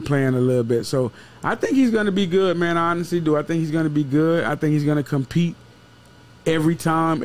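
A man with a slightly high voice gives casual sports analysis into a podcast mic. He talks emphatically, circles back to repeat his points and swears freely. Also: darkish tone. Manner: emphatic, repeats himself, profane. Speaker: sports show co-host and analyst. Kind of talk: casual football postgame chat. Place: small wood-paneled studio room. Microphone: podcast microphone with headset.

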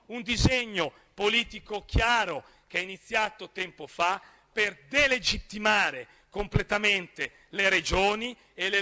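A man in his thirties with a northern Italian accent, a regional councillor giving a forceful speech in a council chamber. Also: high voice, in a large hall. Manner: forceful, rising to emphatic outbursts, gestures while speaking. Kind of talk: parliamentary speech. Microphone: desk gooseneck microphone.

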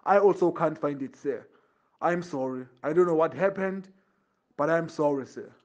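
Man playing a high-voiced grovelling henchman. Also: moderate pace, darkish tone, muffled recording, natural voice. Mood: nervous apology. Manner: pleading, repetitive, deferential. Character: servile, desperate henchman grovelling to his boss. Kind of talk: amateur audio drama acting.

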